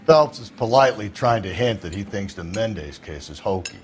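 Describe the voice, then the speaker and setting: Actor as cynical, brusque American detective. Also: male voice, fairly low pitch, expressive, normal speed, slight background noise, close to an everyday voice. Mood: wry. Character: cynical, world-weary male detective partner. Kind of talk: video game dialogue.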